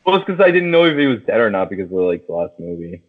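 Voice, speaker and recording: A man's voice: lowish voice, sing-song, rapid-fire. A man chatting casually and enthusiastically about movies as a podcast co-host, swearing freely. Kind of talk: casual movie chat with friends. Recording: thin phone-like, radio-like sound.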